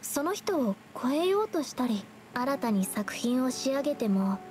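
An actress playing a shy, earnest young woman painter in an anime game, soft-spoken and hesitant. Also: sits high, sing-song, normal speed, slight background noise. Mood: wistful. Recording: game dialogue over background music.

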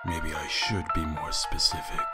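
A man voicing a weary skeleton father in a comic dub, slow, deliberate and exasperated with his baby son. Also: a bass voice, flat delivery. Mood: patient. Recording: loud music behind the voice.

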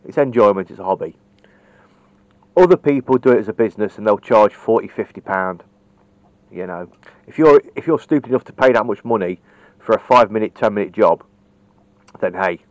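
A man's voice: on the low side, no echo. A man giving an informal hands-on tutorial in a blunt, chatty, matter-of-fact manner. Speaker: hobbyist radio repairer demonstrating. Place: indoors at a cluttered workbench. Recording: dull, lo-fi camera audio.

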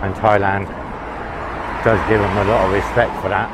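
A man's voice: lowish voice, level tone, moderate pace. A man vlogging as he walks along a city street, chatting to viewers in a casual, opinionated way, recorded on a handheld camera. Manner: chatty, opinionated, talks to viewers while walking. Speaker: travel vlogger. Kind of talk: casual walk-and-talk narration. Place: outdoors on a busy city roadside. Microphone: handheld camera mic. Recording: street traffic noise behind.

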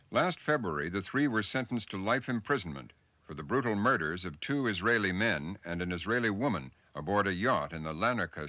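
A middle-aged man anchoring a television news program, reading a scripted report and putting questions to guests in a measured, formal way. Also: deep voice, pushed and bright.

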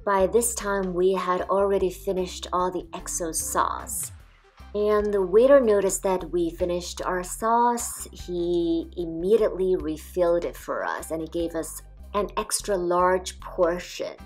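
A woman calmly narrating a restaurant food review as a voice-over, describing each dish in a measured way. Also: on the low side, soft background music. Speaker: food vlogger.

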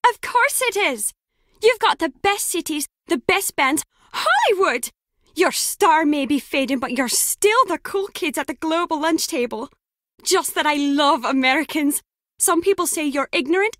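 A woman's voice: very high-pitched, expressive, a little slow, fairly bright tone, dry recording, clean studio sound, exaggerated voice. A woman voicing a chatty, cheeky young woman in a video game, playful and teasing, keen to be friends.

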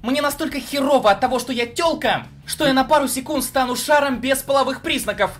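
A man's voice: very high voice, fairly quick, fairly bright tone, soft background music. A young man delivering sarcastic comedic review commentary with a mocking, profane manner. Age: young adult. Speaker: video reviewer.

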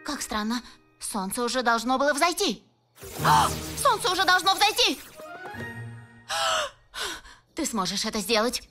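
High-voiced, earnest young pony princess. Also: female voice, big pitch swings, moderate pace, sharp tone, faint music behind, full character voice. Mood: worried. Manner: earnest, dutiful, fretful. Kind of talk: dubbed cartoon acting.

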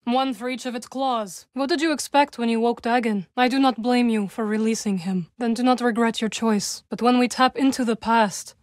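Woman acting a young witch warrior, video game dialogue, fairly high voice, measured formal phrasing, dry wit, close dry sound. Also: fairly even intonation, darkish tone, dry recording, natural voice. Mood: composed, dry. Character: composed, dry-witted young witch warrior from a galactic fantasy.

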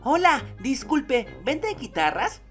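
A woman's voice: sits high, big pitch swings, slow pace, heavily acted. A woman voicing a dramatic, anxious cartoon kid in a fandub, with a Latin American accent. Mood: indignant. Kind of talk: Spanish-language cartoon comic fandub. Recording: music behind the voice.

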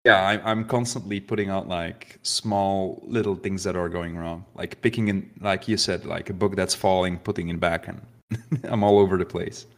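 Low-voiced man, halting and playful. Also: fairly even intonation. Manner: halting, playful, many fillers. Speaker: player. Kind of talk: casual tabletop roleplay chat.

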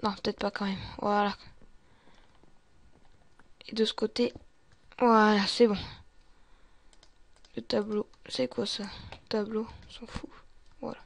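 Slow, muttering gamer, often whispering. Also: medium pitch, flat delivery, gravelly, no echo. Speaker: gaming video creator playing a survivor. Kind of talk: in-character gameplay narration of a zombie survival roleplay.

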